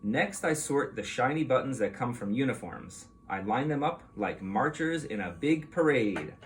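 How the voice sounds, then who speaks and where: A man reads a storybook aloud for young children in a medium-pitched voice. His intonation is animated and expressive, explaining as a teacher would. There is faint noise behind him. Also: moderate pace.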